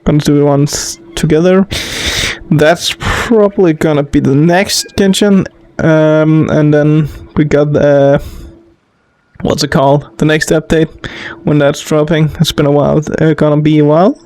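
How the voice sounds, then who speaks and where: A man's voice: fairly high pitch, level tone, a little slow, a little mellow, no room sound. A man hosting a gaming video with casual, off-the-cuff let's play commentary.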